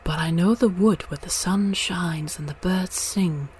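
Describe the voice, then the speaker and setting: A woman's voice: fairly low pitch, unhurried, a little mellow, close to an everyday voice, low-quality recording. A woman voicing a naive young fir tree in a storybook, wistful yet hopeful, with background noise. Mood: earnest. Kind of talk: storybook character voice.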